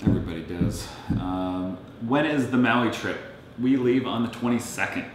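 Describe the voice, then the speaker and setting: A man's voice: on the low side, normal speed. A middle-aged man co-hosting a casual chat, laid-back and reading viewer questions aloud. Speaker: co-host. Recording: very echoey room, faint noise.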